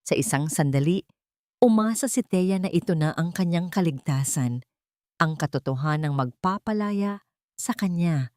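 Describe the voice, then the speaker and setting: A woman's voice: lowish voice, lively intonation, normal speed, warm tone, no room sound, studio quality. A woman narrating a dramatic audio story in a somber, emotive way.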